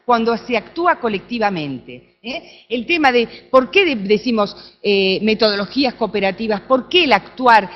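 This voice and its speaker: A woman with an Argentine accent giving an academic talk at a conference, often checking in with her audience through quick tag questions. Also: fairly high pitch, highs cut off. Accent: Argentine Spanish. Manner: animated, with frequent tag questions. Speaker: conference speaker. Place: conference room.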